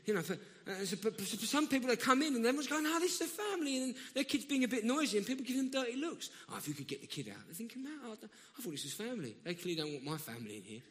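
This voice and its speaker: A man's voice: extremely high pitch, sing-song, fast talker. A man with a South London accent preaching a sermon in a conversational way, often restarting and breaking into emphatic bursts. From South London, England. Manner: conversational, self-interrupting, rising to emphatic bursts. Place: church hall. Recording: long church reverb.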